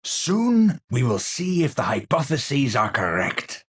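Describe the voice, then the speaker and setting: Wildly dramatic, eccentric Dutch scientist. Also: male voice, mid-range voice, huge pitch swings, takes it slowly, slightly rough, heavily acted. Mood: measured, scholarly. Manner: theatrical, formal scientific phrasing. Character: eccentric, unstable male scientist, lofty and absent-minded. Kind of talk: video game character voice lines.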